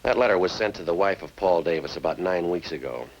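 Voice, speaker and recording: A man's voice: low-pitched, quick pace, highs cut off. An actor playing a methodical male police sergeant in a 1950s radio crime drama, clipped, understated and matter-of-fact. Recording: noisy old-time radio recording.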